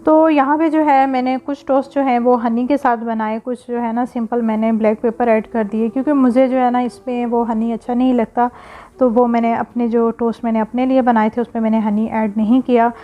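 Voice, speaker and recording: A woman's voice: fairly high pitch, level tone, brisk, lo-fi sound. A woman, hosting her cooking vlog in a casual, rambling voice-over full of filler phrases and blessings. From Pakistan. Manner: warm religious blessings and greetings. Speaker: cooking and family vlogger.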